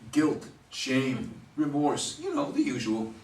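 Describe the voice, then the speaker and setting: An actor in a stage play reading, playing a sarcastic, hungover man in his fifties with a halting, wisecracking delivery, recorded roomy and far from the mic. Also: medium pitch, huge pitch swings, full character voice. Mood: wry, rueful. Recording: roomy, distant mic, faint noise.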